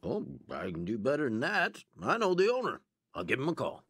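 An actor playing a cheerful, helpful volunteer coordinator in a radio drama. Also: male voice, medium pitch, huge pitch swings, a little fast, over loud music.

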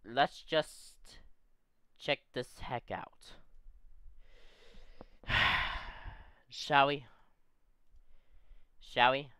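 A man chatting casually as a YouTube reactor, excitable and rambling with lots of restarts, his voice a bit off from being sick. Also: mid-range voice, very slow, pauses often, clean tone, no echo, clean studio sound. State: voice a bit off from sickness.

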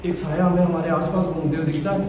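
A young man hosting a ghost-hunting vlog, talking to camera in a tense, hushed way inside a large empty building at night. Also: fairly high pitch, fairly even intonation, fast talker, a little hiss or hum. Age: young adult. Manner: hushed, tense talk to camera, bursts into loud alarmed calls. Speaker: paranormal vlogger. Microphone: handheld camera, often at a distance. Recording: very echoey hall, voice from far off.

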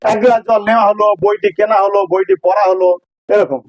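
Very high-pitched man teaching grammar. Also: steady tone, quick pace, a little mellow, lo-fi sound, faint music behind. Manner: didactic, repeats key phrases and checks understanding. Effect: voice changer. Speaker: English teacher. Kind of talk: informal grammar lesson explained step by step.

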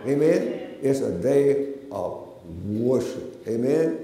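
A man's voice: mid-range voice, animated, slow pace, warm tone. An older man preaching a sermon as a reverend, with repetitive, emphatic phrasing and frequent amens. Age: older, about 70s. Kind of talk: sermon with repeated "amen" call-outs. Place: large church sanctuary, indoors. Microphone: gooseneck pulpit microphone. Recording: echoey church hall with long reverb.

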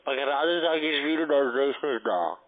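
A German speaker, a man working as a call-centre agent, talking curtly and insistently in halting phrases over the telephone. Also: medium pitch, animated. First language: not German. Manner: curt, insistent, in halting non-native phrasing. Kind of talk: phone sales call, argumentative. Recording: tinny narrow phone line.

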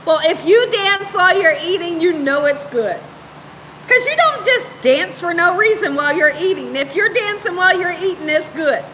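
A woman's voice: extremely high pitch, lively intonation, moderate pace, a bit bright, a little hiss or hum, low-quality recording. A woman around her thirties or forties chatting casually and playfully with live viewers as host, repeating phrases for emphasis. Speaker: cooking show host on a livestream. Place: home room, indoors.

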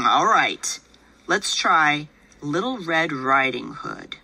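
A woman reading a picture book aloud in a gentle, patient storytelling manner, voicing the father. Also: deep voice, sing-song, drawn-out words, creaky.

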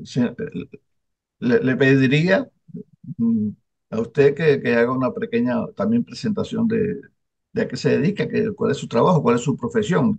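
Older guy interviewing on a video call, raspy gravelly voice, stumbles and restarts a lot, muffled lo-fi sound. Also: medium pitch, darkish tone. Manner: halting, many restarts and fillers. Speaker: interviewer, newspaper publisher. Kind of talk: casual interview chat. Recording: dull lo-fi video call audio.

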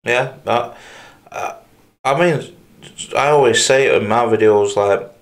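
A young man hosting an interview on a video call, asking measured, supportive questions. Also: medium pitch. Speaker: host.

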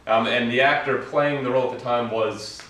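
A man's voice: mid-range voice, steady tone, brisk, a bit bright. An American man in his thirties interviewing casually, with a playful, teasing manner. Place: indoor theatre set. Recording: echoey room, distant mic, faint noise.

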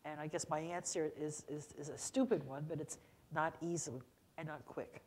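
A woman's voice: low-pitched, steady tone, moderate pace, a little mellow, slightly rough. An older woman speaking emphatically as a panelist on a lecture hall stage. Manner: emphatic, explanatory. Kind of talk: panel discussion.

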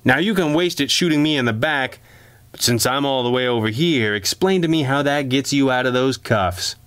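A man's voice: mid-range voice, normal speed, fairly bright tone, clean tone, no room sound, voice pushed up, lightly acted. A man voicing a seasoned gangland undercover man in a crime story, with a smug, casual, needling manner. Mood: casual, unbothered.

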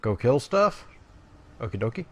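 A man's voice: medium pitch, big pitch swings, moderate pace. A man giving casual, playful commentary as he plays a game, often muttering to himself. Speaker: gamer.

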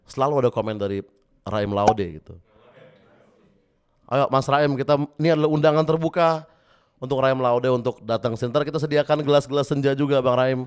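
Slightly hoarse joking young man. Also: mid-range voice. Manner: joking, rambling, self-mocking asides. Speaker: host. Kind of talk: comedic news monologue. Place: small studio with brick wall. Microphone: studio mic on stand with headphones.

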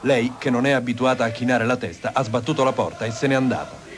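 A man's voice: medium pitch, steady tone, fast talker. A man narrating a scripted television sports news report. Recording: noisy degraded old TV tape.

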